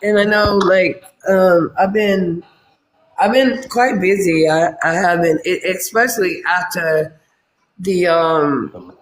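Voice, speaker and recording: A man speaks as a guest on a casual live-stream panel, in an earnest, searching way with many restarts. His voice is high for a man. The recording carries an echoey room sound around him. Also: takes it slowly, a little hiss or hum.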